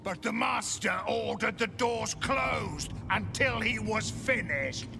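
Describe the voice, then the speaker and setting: A sadistic undead servant from a video game, creaks out words at a very slow, savouring pace. The tone is mocking and sing-song, full of gloating menace, with music playing clearly behind. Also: male voice, sits high, expressive, heavily acted. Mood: sly, obedient. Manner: mocking, sing-song menace, speaks of himself in third person. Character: cruel, gloating old undead servant and torturer. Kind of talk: video game character acting.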